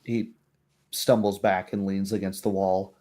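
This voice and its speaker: A man narrating a tabletop roleplaying game as game master, descriptive and halting. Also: low voice, level tone, warm tone.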